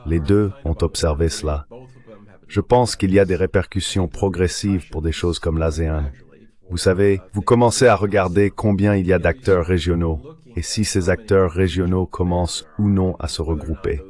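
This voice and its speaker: A man's voice: deep voice, steady tone, moderate pace, darkish tone. A man giving a French voice-over translation of conversational political commentary, speaking as an interpreter.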